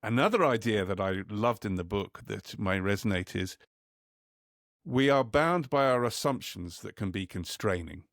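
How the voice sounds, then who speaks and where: A man hosting an interview, asking thoughtful, slightly halting questions. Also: on the low side, expressive, fairly bright tone, dry recording, clean studio sound. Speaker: podcast host.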